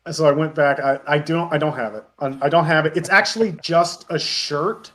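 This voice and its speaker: A man telling casual anecdotes as an interview guest on an online voice call, in a halting, stop-and-start way. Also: a little high, a bit bright. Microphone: voice call on Discord.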